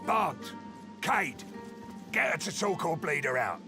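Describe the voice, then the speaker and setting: Furious high-pitched dwarf crime boss. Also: male voice, big pitch swings, slow pace, over music, full character voice. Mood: furious, threatening. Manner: forceful, rough colloquial speech. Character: furious, threatening male dwarf crime boss, fantasy game.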